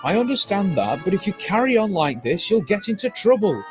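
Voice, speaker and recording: A cautious, fretful engine driver, as a man puts him on, his voice pitched up high and jumpy. He frets and pleads with wide swings in tone. Music plays behind. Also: very animated, a little fast.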